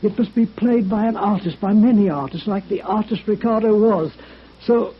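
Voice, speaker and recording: An actor playing a nervous, evasive and formal violin maker who stammers through a vintage radio drama. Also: male voice, sits high, moderate pace, slightly rough. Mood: pleading, confessing. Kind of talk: 1950s radio drama acting. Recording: dull, noisy vintage radio recording.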